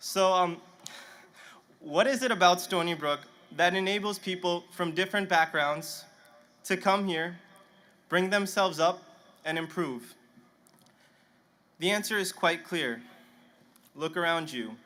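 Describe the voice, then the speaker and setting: A man's voice: sits high, a little slow, pauses often, pushed and bright. A young man whose first language is Punjabi, giving a nervous, self-joking student speech at an outdoor stadium ceremony into a podium microphone. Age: young adult. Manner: nervous, self-deprecating humor. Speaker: student commencement speaker. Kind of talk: commencement speech.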